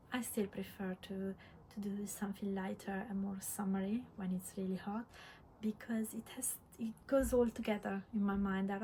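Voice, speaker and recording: A woman from Italy with an Italian accent chatting casually as host of a vlog, halting and reflective, often repeating words. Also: medium pitch, dark tone, rough recording. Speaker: podcast host, crafter. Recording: clear background room noise.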